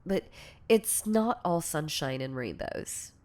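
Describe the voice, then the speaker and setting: A woman acts out a lovestruck yandere girlfriend in an intimate audio roleplay. Her voice is low for a woman and soft. She speaks very slowly, hesitating and stammering with shy, insecure devotion. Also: animated. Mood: tender, devoted.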